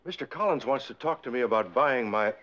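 An earnest, easily flustered farmer husband, played by a man with a bright, lively, fairly high voice. He is patient but quick to exasperation. The sound is a dull lo-fi 1960s TV recording. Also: natural voice. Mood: explaining. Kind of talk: 1960s sitcom acting.

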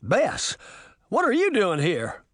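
A man voicing a big, soft-hearted, bashful pig-man in love, for a storybook reading. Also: high-pitched, huge pitch swings, slow pace, no room sound, studio quality, pitched above the performer's own voice, heavily acted. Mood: bewildered.

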